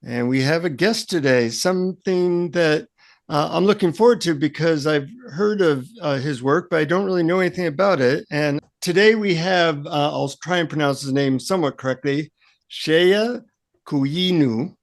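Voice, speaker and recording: A man with a fairly high voice and a gravelly creak hosts a casual conversation. He speaks as a podcast co-host, in an informal, conversational way. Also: normal speed.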